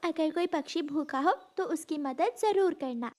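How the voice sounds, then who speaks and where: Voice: high voice, normal speed, a little mellow, natural voice. A woman playing a caring mother sparrow in a storytelling cartoon, speaking in a motherly, instructive way. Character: caring mother sparrow gently teaching her little chicks. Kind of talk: Hindi storytelling cartoon voice acting.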